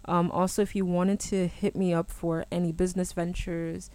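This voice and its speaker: Brief: gospel singer woman, low-ish voice, courteous, keeps saying yes sir. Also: level tone, moderate pace, mellow, no room sound. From born in Germany, Ghanaian-American. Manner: deferential, repeats "yes, sir" and "by God's grace". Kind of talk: polite interview answers.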